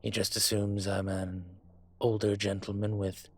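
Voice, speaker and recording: Man playing a slow, husky dragon mafia boss. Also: fairly low pitch, little pitch movement. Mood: relaxed, proud. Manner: unhurried, courtly, teasing, intimate. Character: older gentlemanly dragon mafia boss, suave, wealthy, courting. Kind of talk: romantic roleplay audio drama acting. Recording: music behind the voice.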